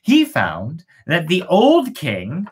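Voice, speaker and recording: An expressive storyteller with a husky voice that rises and falls a great deal. Phrases are shaped with dramatic pauses. The manner is casual and playful, as a host sharing fairy tales. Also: medium pitch.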